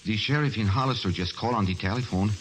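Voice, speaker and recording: A man's voice: lowish voice, quick pace, a little hiss or hum, close to an everyday voice, rough recording. Meek young villager, acted by a man. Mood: apologetic, earnest. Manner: apologetic, polite, pleading. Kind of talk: 1940s radio drama acting.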